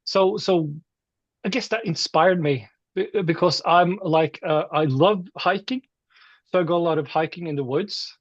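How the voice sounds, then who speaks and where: Higher-voiced Norwegian man, slightly muffled sound. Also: unhurried, no echo. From Norway. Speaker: metal musician being interviewed. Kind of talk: casual interview chat.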